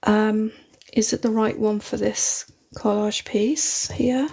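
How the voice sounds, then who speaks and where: A woman's voice: mid-range voice, flat delivery, slow pace, a little mellow, breathy edge. A woman with a British accent, not a London one, chatting casually and rambling as she hosts a live crafting stream at her desk. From Britain. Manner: chatty, rambling, chuckles often. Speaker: craft livestream host. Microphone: phone mounted overhead.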